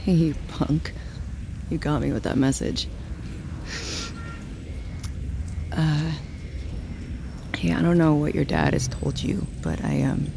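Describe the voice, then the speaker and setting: An actress playing a troubled, self-blaming woman with a tender heart, speaking haltingly in a naturalistic audio drama. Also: a bass voice, vocal fry, noisy background, exaggerated voice. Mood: awkward, apologetic. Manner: halting, faltering, self-correcting.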